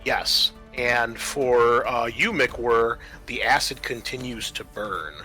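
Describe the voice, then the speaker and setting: A man's voice: medium pitch, takes it slowly, sharp tone, soft background music, low-quality recording. A man acting as game master, narrating and explaining the rules of a tabletop horror roleplaying game in a conversational way.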